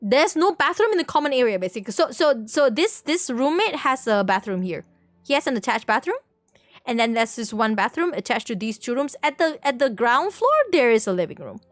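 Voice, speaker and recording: High-voiced woman chatting casually on a livestream. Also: sing-song, brisk, no echo, faint music behind. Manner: rambling, repeats words, playful self-correcting. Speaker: livestream host. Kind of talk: casual livestream chat, explaining a sketch to viewers.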